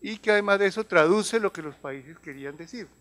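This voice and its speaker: A Colombian man in his fifties speaks as an advisor presenting at a conference. His voice sits slightly higher than most men's. He explains point by point in a semi-formal register, often halting and repeating a phrase before he moves on. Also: fairly bright tone. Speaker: health organization advisor giving a talk. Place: large conference room. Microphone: handheld or table microphone.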